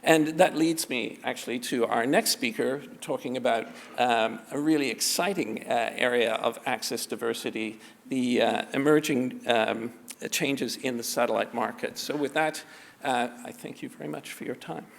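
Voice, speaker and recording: Gravelly man speaking in a large hall. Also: medium pitch. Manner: halting, many repetitions and restarts. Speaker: conference panelist on spectrum policy. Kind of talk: technical conference talk. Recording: reverberant conference hall.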